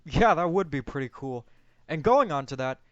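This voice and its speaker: A man's voice: a little high, expressive, normal speed, a crowd in the background. A man giving his opinions in a casual movie discussion as a co-host. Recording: dull, lo-fi, close dry sound.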